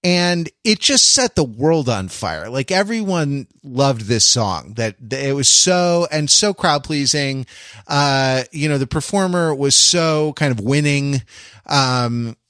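Male podcast host, mid-pitched, dry close sound. Conversational, wordy, often rephrasing as he explains. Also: lively intonation, slow pace, a bit bright, no echo. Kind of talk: casual pop-culture discussion.

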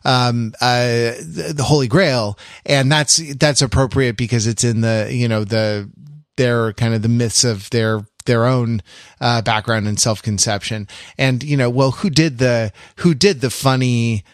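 A man's voice: mid-range voice, steady tone, unhurried, no room sound, clean studio sound. A man co-hosting a podcast, chatting casually in a wry, digressive way as he thinks aloud. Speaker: podcast co-host. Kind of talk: casual pop-culture discussion.

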